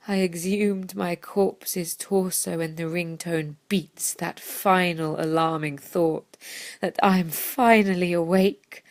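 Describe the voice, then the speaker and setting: A woman performing a poetic dramatic monologue in a deliberate, theatrical way, breaking it into short phrases. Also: medium pitch, darkish tone, no echo. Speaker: reader of a theatre piece.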